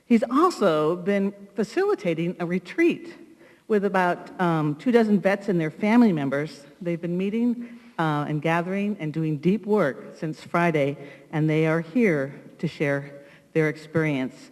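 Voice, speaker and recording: A woman giving a solemn, deliberate welcome address as a congregation leader, with weighty pauses. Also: lowish voice, animated, darkish tone. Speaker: congregation leader introducing a speaker. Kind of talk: prepared ceremonial welcome address. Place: large hall, live ceremony. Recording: reverberant hall.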